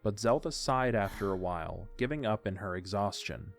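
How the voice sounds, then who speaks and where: A man's voice: on the low side, lively intonation, moderate pace, creaky. A man reading scripted story narration as the narrator of an audio drama. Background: faint music.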